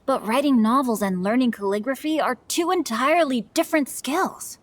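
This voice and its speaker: A woman's voice: high-pitched, normal speed, a little hiss or hum, close to an everyday voice. A woman playing an earnest, humble young novelist in a video game, speaking formally and politely.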